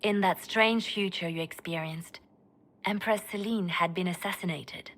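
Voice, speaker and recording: A cool, quiet spymaster. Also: female voice, on the low side, moderate pace. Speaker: spymaster advisor in a fantasy game. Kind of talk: video game dialogue acting. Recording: game audio with soft music behind.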